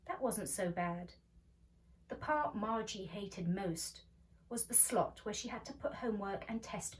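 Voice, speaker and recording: A woman reading a story aloud as a library storyteller, measured and expressive, recorded at home. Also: mid-range voice. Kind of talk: storybook read-aloud narration. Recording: slightly distant microphone.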